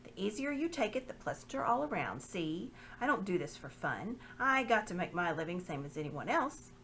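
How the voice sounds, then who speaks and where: Brief: greedy jovial slaver, bluff and higher than usual , a woman doing the voice. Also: very animated, normal speed, a bit bright, a little hiss or hum. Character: gruff cheerful male slave merchant, greedy.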